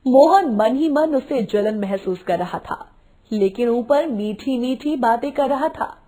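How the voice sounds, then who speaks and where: A woman's voice: fairly high pitch, expressive, unhurried, mellow. A woman reading a scripted moral story as its narrator.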